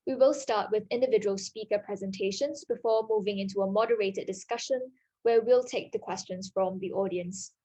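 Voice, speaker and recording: A woman hosts an online panel at a middling pitch. She reads the announcements and introduces each speaker politely and formally. Her delivery is steady and scripted. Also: brisk. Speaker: panel moderator. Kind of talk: scripted event moderation.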